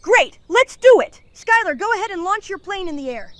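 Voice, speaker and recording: A woman voicing an eager, excitable kid hero in a fan cartoon dub. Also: extremely high pitch, moderate pace. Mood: excited. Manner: excitable, animated. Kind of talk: fan comic dub voice acting. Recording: clear background noise.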